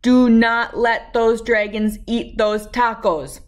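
A woman in her thirties reading a children's storybook aloud with expressive, emphatic delivery and dramatic pauses. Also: on the high side. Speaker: read-aloud host.

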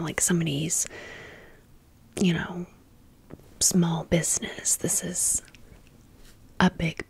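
A young adult American woman whispering a casual ASMR storytime close to the microphone, rambling with sassy, emphatic asides. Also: on the low side. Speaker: ASMR video host.

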